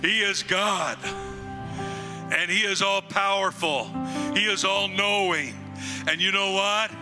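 An older man in his sixties from the United States, a guest pastor with a booming voice, preaching and praying slowly into a handheld microphone on a church stage. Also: fairly even intonation. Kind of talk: sermon and prayer. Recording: long hall reverb, faint music behind.

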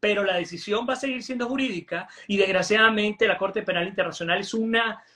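A man in his forties speaks in a high-pitched voice as a political analyst. His talk is emphatic and insistent, with frequent restarts and repeated words, heard through a webcam on a video call from home. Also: a little fast, bright, forceful tone. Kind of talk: animated political commentary. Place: home room indoors.

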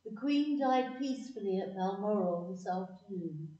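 A woman's voice: medium pitch, lively intonation, moderate pace, dark tone, lightly acted. A woman giving a formal, scripted announcement. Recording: echoey room, distant muffled mic.

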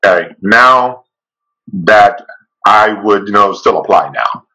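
A man's voice: lowish voice, lively intonation, takes it slowly, sharp tone, poor audio. A man on a video call, speaking with a stutter that repeats sounds. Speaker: webinar participant. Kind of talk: casual video call discussion.

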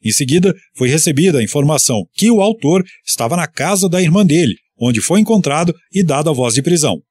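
A man from Brazil reading a police news bulletin on the radio in a steady, formal newsreading manner. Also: a little high, quick pace, breathy edge.

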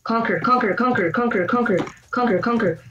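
A woman's voice: a little high, fairly quick, a bit bright, slight background noise. Young woman over muffled voice chat. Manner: chatty, self-mocking. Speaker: friend playing along. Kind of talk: casual gaming chat. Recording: dull, slightly muffled voice chat.

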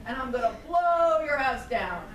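A woman's voice: high-pitched, very animated, moderate pace, dull audio, a crowd in the background. A woman voicing a hungry, blustering big bad wolf puppet in a live show for preschoolers. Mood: blustering threat. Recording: echoey noisy room, distant camera mic.

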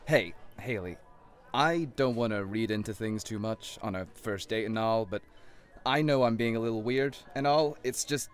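A man's voice: lowish voice, moderate pace, natural voice. An actor playing an awkward, earnest man on a first date in an audio drama, speaking hesitantly. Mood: nervous, earnest. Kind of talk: naturalistic audio drama acting.

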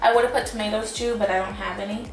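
A woman's voice: mid-range voice, fairly even intonation, quick pace. Young woman chatting casually, roomy kitchen sound. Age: young adult. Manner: informal, rambling, frequent "like" and "you know". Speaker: home cooking vlogger. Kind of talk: casual chatty cooking vlog. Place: home kitchen, indoors. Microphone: camera across the table. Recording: roomy, distant camera mic, background music.

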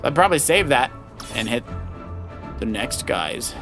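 A man gives casual, encouraging play-by-play on a video game, coaching as he goes. His pitch sits in the middle range, and game music plays clearly underneath his talk. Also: big pitch swings, unhurried. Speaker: dad co-hosting a Let's Play. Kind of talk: casual gameplay commentary. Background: loud video game music.

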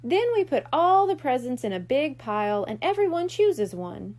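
Woman voicing an earnest high-pitched kitten-girl big sister. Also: very animated, moderate pace, darkish tone. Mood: kind, earnest. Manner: earnest, slightly worried.

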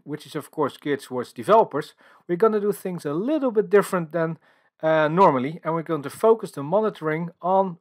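A man from the Netherlands with a Dutch accent, giving a technical conference presentation over slides in an explanatory way, with many filler sounds. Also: high-pitched. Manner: explanatory, filler-heavy with frequent uhs. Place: voice-over for screen recording and slides.

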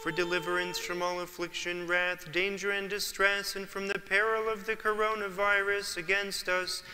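A clergyman leading an Orthodox litany in a solemn, measured intoning manner. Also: sits high, flat delivery, bright, forceful tone. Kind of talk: chanted liturgical litany. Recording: church reverb, faint ambience.

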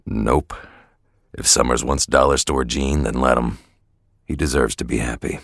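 An actor playing a gruff, terse male brawler in an audio drama, speaking in short, curt replies. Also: extremely low pitch, steady tone, a little slow, fairly bright tone, no echo, studio quality, full character voice. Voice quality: gravelly, curt.

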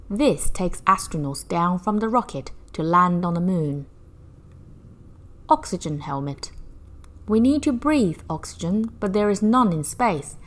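Woman reading slowly and very expressively. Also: fairly low pitch. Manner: measured, animated storytelling for young children. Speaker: children's story reader. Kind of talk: expressive read-aloud of a picture book.

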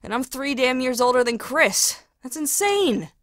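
A woman's voice: high-pitched, expressive, a little slow, a bit bright, no echo. Woman playing caring young sister in an audio drama, very up and down voice, dry recording. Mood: wry, nervous. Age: young adult. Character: caring, self-doubting young woman, eldest sibling. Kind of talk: naturalistic audio drama acting.

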